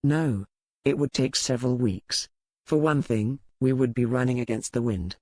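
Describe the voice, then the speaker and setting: Woman voicing a low, grave male pirate captain. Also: moderate pace, darkish tone, no room sound. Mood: firm, grave. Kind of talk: dramatic audiobook character reading.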